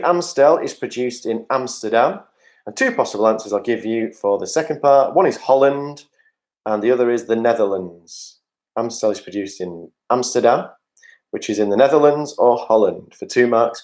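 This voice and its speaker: A man's voice: medium pitch, lively intonation, normal speed, darkish tone. Quiz host: man, jokey and chatty, reading questions and answers over a video call. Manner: jokey, chatty asides to players, reads questions aloud.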